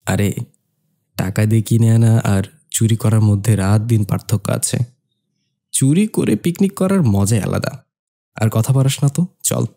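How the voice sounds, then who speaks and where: A man playing a bold, mischievous young man who narrates and acts in an animated horror tale. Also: fairly low pitch, moderate pace, vocal fry, pauses often. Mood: bossy, playful. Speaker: narrator and character. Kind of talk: scripted horror cartoon narration and dialogue.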